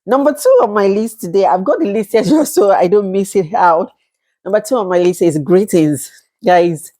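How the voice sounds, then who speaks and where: Nigerian woman, 30s, casual vlog host, talkative with easy laughs, mid-pitched voice. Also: normal speed, warm tone. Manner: chatty, laughs often, acts out quoted exchanges. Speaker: vlogger. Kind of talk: casual talk to camera.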